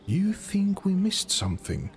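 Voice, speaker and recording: A man voicing his hushed, higher companion. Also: huge pitch swings, a little slow, music in the background, full character voice. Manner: hushed, light and careful questions. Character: narrator's companion, young man, guarded and hopeful.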